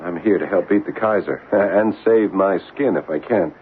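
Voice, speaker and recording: A shy young American soldier, played by an actor, speaks low and earnest, stumbling over his words. It sounds like a vintage radio play, tinny and narrow with some hiss. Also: moderate pace, lightly acted. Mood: shyly smitten. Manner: hesitant, stammering. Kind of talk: old-time radio drama acting. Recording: tinny, hissy old radio broadcast.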